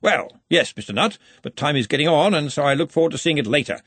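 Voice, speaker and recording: Man as a booming, hearty head wizard. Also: expressive, fairly bright tone, dry recording. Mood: hearty, brisk. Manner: booming, brisk, pompous.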